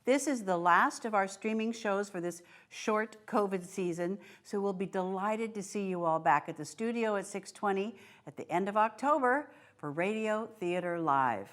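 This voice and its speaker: A woman's voice: medium pitch, animated, moderate pace, few pauses. A woman co-presenting for a theatre company, giving a show introduction.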